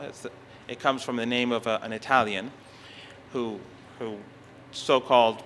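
A middle-aged man whose first language is Persian, giving an academic conference talk, halting often and restarting his phrases. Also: mid-range voice, sharp tone, a little hiss or hum. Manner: halting, restarts phrases, stresses key words. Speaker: conference speaker. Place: university conference hall.

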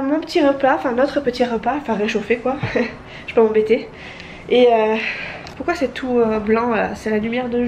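A woman's voice: fairly high pitch, slight background noise. Stuffy-nosed woman vlogging at home. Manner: chatty, rambling, many restarts and fillers. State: stuffy nose from a cold. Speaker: family vlogger. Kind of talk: casual vlog chat to camera. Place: apartment, indoors. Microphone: handheld camera at arm's length.

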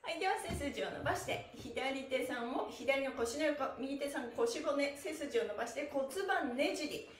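A woman's voice: high voice, moderate pace, hardly any pauses. A middle-aged woman leading an upbeat stretching class, cheerful and encouraging, with rhythmic sing-song chants. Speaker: stretching instructor. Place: small bare-walled room indoors, on a floor mat. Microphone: camera a few metres away. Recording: roomy echo, distant microphone.

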